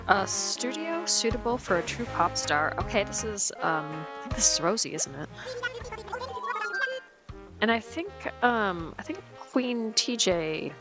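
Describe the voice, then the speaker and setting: A woman with a lower voice than most talks through her game as the host, unhurried and chatty, dotting her thoughts with ums and restarts. She is getting over a cold and sounds a bit sniffly. Music plays clearly underneath her. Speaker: let's play host. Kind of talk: casual gameplay commentary.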